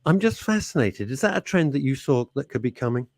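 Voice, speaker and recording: A man's voice: mid-range voice, a little fast, creaky, dry recording. A man co-hosting a radio talk show, telling rambling stories with laughs and false starts in casual studio chat. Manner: lively, rambling storyteller with laughs and restarts.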